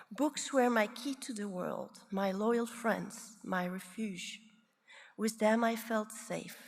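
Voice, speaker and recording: A woman in her sixties from Belgium, a psychiatrist giving a prepared, reflective conference talk with measured, deliberate phrasing. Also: on the high side, creaky, in a large hall. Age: 60s. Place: large conference hall.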